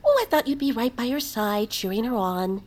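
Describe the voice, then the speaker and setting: A polite townswoman with a fairly high voice. She is chatty and plays it in family audio drama style. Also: animated, a little fast, a little mellow. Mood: pleasantly curious.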